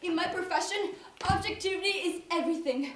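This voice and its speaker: A woman's voice: extremely high pitch, a little fast. A young woman on stage playing a melodramatic, anguished fashion professional, with an overwrought, declamatory delivery. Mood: distraught. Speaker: stage actor. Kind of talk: theatrical one-act stage play acting. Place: indoor stage, recorded from a distance. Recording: echoey stage recording, distant mic, noisy.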